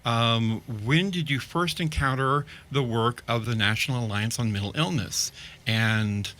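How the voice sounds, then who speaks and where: A man's voice: medium pitch, a little slow, bright, forceful tone. Man hosting a community radio show. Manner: scripted opening, then chatty and upbeat with the guest.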